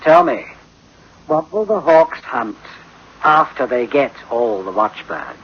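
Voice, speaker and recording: A man's voice: mid-range voice, big pitch swings, slow, slightly rough, heavily acted. An actor playing a sceptical, conscience-stricken man in charge in a 1960s radio drama. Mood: sceptical, probing. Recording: dull old radio recording, faint hiss.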